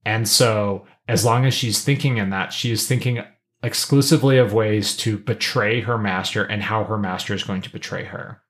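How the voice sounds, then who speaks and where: A man's voice: lowish voice, steady tone, moderate pace, fairly bright tone. A man co-hosting a podcast, talking through his analysis casually in a thoughtful, explanatory manner. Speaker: podcast co-host.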